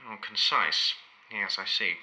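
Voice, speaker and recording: An old modernist poet held in confinement, for an audio play. He rambles with measured, wounded dignity and picks his words with care. The voice sits a little low and cuts through with a very sharp, forceful edge. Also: poor audio. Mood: wounded, brooding. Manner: measured, rambling, literary, deliberate. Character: ageing imprisoned modernist poet, proud, reminiscing, wounded dignity.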